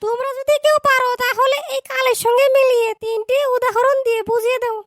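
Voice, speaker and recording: A woman's voice: very high voice, fairly even intonation, fast talker, fairly bright tone, dry recording. A woman voicing a strict schoolteacher in a cartoon, scolding and lecturing her class. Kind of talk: cartoon dub acting.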